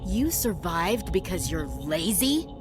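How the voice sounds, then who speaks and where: A woman's voice: lowish voice, big pitch swings, slow pace. A woman playing a tough, blunt soldier in a video game, with music behind her. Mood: scornful. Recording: clear music bed behind the voice.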